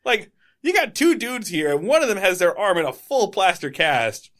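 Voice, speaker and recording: Animated male podcast co-host. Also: high-pitched, moderate pace. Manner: animated, jokey recapping of an old sitcom episode. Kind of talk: casual podcast chat.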